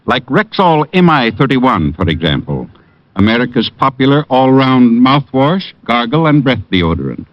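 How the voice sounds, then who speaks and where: A man's voice: very animated, no room sound. Earnest man on a dull old radio recording. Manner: earnest, measured sales pitch. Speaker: commercial spokesman. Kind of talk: 1950s radio commercial.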